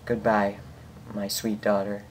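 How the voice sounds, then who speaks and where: An amateur reader voicing a remorseful pony executioner, a grieving mother in a fan fiction, quiet and sorrowful. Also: sits low, monotone, a little slow, slight background noise.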